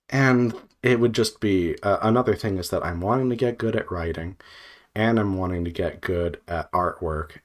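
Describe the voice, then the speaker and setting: Low-pitched man rambling casually. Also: darkish tone. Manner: rambling, scattered, thinking aloud; hesitant, frequent filler "like" and trailing pauses. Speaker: video creator, indie visual novel developer. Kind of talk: unscripted casual vlog monologue.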